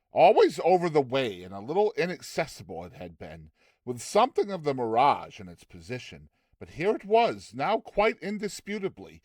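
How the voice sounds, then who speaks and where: A man narrating a classic short story in a theatrical, expressive audiobook style. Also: mid-range voice, no room sound, clean studio sound.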